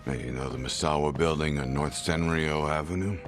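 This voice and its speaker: A man voicing a sly, smug, streetwise underworld informant in a video game, his voice gravelly and insinuating. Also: a little fast, over music. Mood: conspiratorial. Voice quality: very deep, gravelly. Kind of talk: video game cutscene acting.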